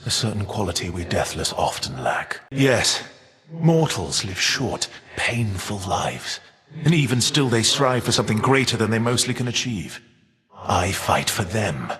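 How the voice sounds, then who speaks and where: A proud bitter titan for a video game, kinda deep, grave old-fashioned wording, big echoey reverb. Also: male voice, fairly bright tone. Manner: formal, measured, grave archaic diction. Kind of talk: scripted video game character voice lines.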